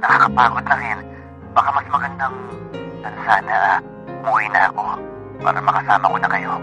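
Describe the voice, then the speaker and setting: An actor playing a homesick, evasive seafarer husband in naturalistic radio drama, halting and repeating himself. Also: male voice, lowish voice, close to an everyday voice. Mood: weary, hesitant. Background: music bed.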